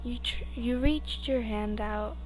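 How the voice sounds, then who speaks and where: A young woman with a fairly high voice reads fan fiction aloud as an amateur. Her delivery is slow and halting, with frequent stumbles and restarts. She slips informally between the story and her own asides. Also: a little mellow, low-quality recording. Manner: halting, stumbling over words. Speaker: amateur podfic reader.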